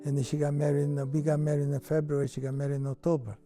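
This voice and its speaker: An older Italian-accented man tells his story in halting, broken English, full of fillers and restarts. His voice is deep, dark and mellow, and the pitch sits a little high for a man. Soft music plays faintly beneath him. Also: monotone. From Italy. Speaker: interviewee. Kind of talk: reminiscing in an interview.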